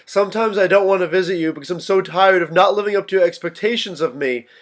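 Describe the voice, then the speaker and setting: A man's voice: high-pitched, quick pace, close to an everyday voice. An actor playing an anxious, unravelling young man in a staged play reading, hesitant and stammering. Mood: frustrated.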